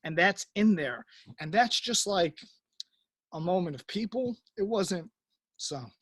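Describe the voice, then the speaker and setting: Man with a fairly high voice, halting and sincere, reminiscing over a close, dry video call. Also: lively intonation, a bit bright, no echo. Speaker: cast member on a reunion panel. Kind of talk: reflective reminiscence.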